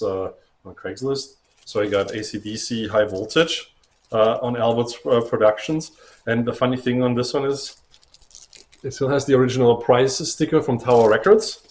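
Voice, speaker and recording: A middle-aged man talking casually to camera as a record collector showing his finds, in a halting, rambling way. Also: fairly low pitch, a little mellow, slight background noise. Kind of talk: casual show-and-tell vlog to camera.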